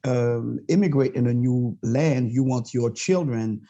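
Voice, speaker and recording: A man's voice: medium pitch, mellow, highs cut off. Hesitant man from Montreal, French native. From Montreal, Canada. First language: Quebec French. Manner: halting, many fillers, passionate. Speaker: podcast guest. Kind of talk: casual interview talk.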